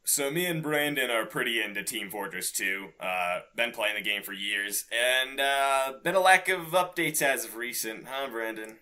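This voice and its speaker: A man's voice: medium pitch, animated, normal speed, few pauses, pushed and bright. A man co-hosting a podcast, chatting casually and informally about video games with loose, slangy talk. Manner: informal, slangy, mildly profane. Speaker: podcast co-host.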